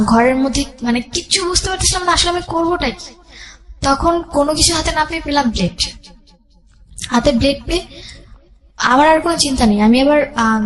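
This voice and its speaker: High-voiced young Bangladeshi woman telling her story. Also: poor audio. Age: young adult. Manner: mixes English words into her speech. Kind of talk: personal storytelling in an interview.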